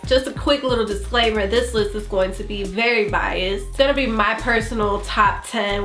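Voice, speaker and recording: A woman's voice: a little high, fairly bright tone. A woman with a congested, nasal voice from bronchitis, chatting casually as a sneaker vlogger with many fillers. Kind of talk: casual vlog review. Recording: echoey room with faint music.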